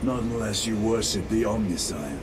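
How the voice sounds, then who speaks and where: A man's voice: lowish voice, moderate pace, background noise, close to an everyday voice. Man playing a grim, brooding space marine lieutenant. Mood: grim resolve. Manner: terse, brooding, commanding. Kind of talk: dramatic video game acting. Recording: loud cinematic music behind.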